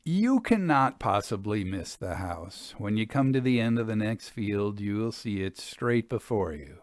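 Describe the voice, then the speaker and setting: A man reading a Victorian account aloud as a storyteller, in a formal, measured literary manner. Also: on the low side, very animated. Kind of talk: expressive scripted narration of a Victorian travel account.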